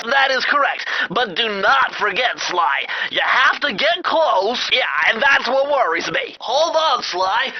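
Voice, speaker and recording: A brainy young cartoon turtle guides the player through a video game. His voice is extremely high and nasal, with a fussy, bookish precision. He is earnest and excitable, always cautious. The sound is somewhat muffled and lo-fi. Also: heavily acted. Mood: cautioning, explaining. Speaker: mission guide. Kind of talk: video game voice acting, instructions. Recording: dull, lo-fi game audio.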